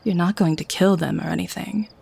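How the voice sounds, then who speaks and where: A woman's voice: fairly low pitch, fairly even intonation, fairly quick, creaky, warm tone, husky, exaggerated voice. A woman playing a haughty, teasing vampire who keeps prisoners, in an ASMR-style audio drama. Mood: smug, matter-of-fact. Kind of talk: ASMR audio roleplay acting.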